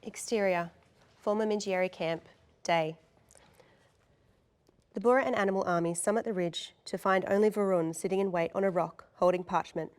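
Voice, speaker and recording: A woman's voice: medium pitch, moderate pace, pauses often, a little mellow, clean tone. A woman narrator reading stage directions and scene descriptions at a table read.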